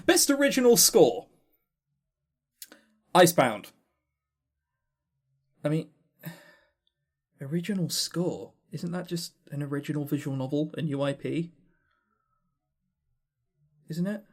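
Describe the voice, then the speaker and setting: Male podcast co-host: highish voice, animated swings in pitch, chatty, rambling and wryly sarcastic. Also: takes it slowly, pauses often. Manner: rambling, sarcastic, dry humour; swears casually. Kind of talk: casual chat running through award lists.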